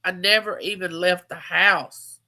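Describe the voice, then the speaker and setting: A chatty woman in her fifties hosts a relaxed livestream, swapping stories with her viewers. Her voice is bright and sits a little low, and she leans on repeated phrases for emphasis. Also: unhurried. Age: 50s. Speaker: livestream host. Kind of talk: casual live chat.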